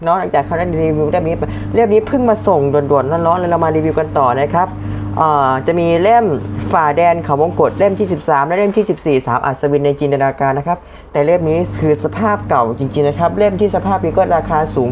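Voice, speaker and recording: A man giving a casual unboxing review, thinking aloud informally as he handles things, as host of a vlog. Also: on the high side. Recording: dull lo-fi audio, loud background noise.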